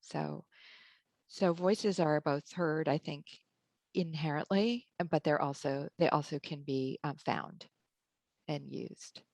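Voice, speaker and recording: Low-voiced thoughtful woman editor. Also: takes it slowly, gravelly, darkish tone, dry recording. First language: English. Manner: thoughtful, laughs often, frequent ums and restarts. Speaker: book editor and writing teacher. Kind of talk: conversational interview answers.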